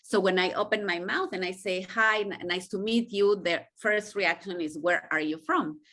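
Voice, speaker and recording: A woman's voice: medium pitch, normal speed, bright, forceful tone. A middle-aged woman from Mexico with a heavy accent that mixes Mexican Spanish and Israeli sounds, speaking earnestly and reflectively as a podcast guest. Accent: Mexican Spanish mixed with Israeli. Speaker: podcast guest, intercultural coach. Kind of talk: conversational interview.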